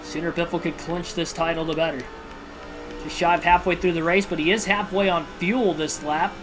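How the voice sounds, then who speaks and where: A man's voice: on the high side, normal speed. Man calling a video game race. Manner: steady broadcaster-style narration mixed with personal asides. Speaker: livestream host and race commentator. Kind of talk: realistic play-by-play racing commentary. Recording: video game music clearly behind voice.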